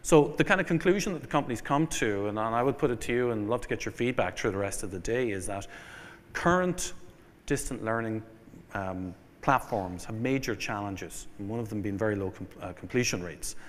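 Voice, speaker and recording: An Irish man delivers a conference keynote in a lowish voice, working through his points with ums and restarts. His voice carries a long reverb from the hall around him. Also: expressive, a little hiss or hum. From Ireland. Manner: measured, listing points with fillers and restarts. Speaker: keynote speaker.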